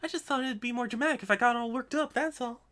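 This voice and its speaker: A woman's voice: fairly high pitch, fast, loud music behind the voice, pitched above the performer's own voice, natural voice. A woman doing a dramatic, impulsive young woman. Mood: worked up.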